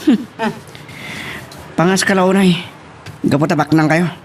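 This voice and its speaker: A man's voice: high-pitched, slow, distant mic, slight background noise. A man playing a hot-headed young man in an Ilocano romance drama, with an Ilocano accent. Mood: defiant.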